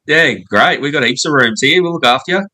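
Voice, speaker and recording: A man's voice: fairly high pitch, expressive, fairly quick, bright, forceful tone. A man with an Australian accent chatting casually as an interview guest, laid-back and slangy. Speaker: pub owner, interview guest. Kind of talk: casual chat.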